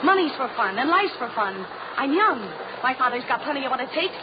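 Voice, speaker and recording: A woman's voice: high voice, lively intonation, fairly quick. A woman playing a spoiled, rebellious young American heiress in old-time radio drama, brash, slangy and scornful. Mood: defiant. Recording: muffled, very noisy old radio recording.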